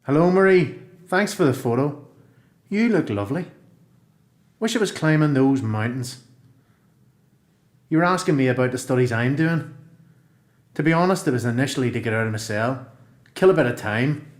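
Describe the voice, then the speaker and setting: A man's voice: mid-range voice, expressive, normal speed, pauses often, reverberant, natural voice. A man with a Northern Irish accent playing an earnest, reflective prisoner in a radio play, speaking plainly as if in a letter. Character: earnest, reflective male prisoner who found education.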